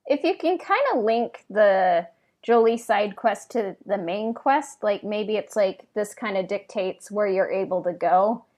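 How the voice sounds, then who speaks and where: A woman's voice: medium pitch, expressive, unhurried, a little mellow. Woman thinking aloud on a podcast. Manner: informal, tentative, thinks aloud in fragments. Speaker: podcast co-host. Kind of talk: casual podcast discussion.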